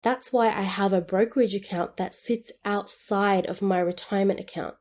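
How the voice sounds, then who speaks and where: A woman in her twenties hosts a scripted solo podcast with an upbeat, friendly manner. Her voice has a soft, mellow tone at a middling pitch. She explains each step clearly and evenly. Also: fairly even intonation, moderate pace. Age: 20s. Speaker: podcast host.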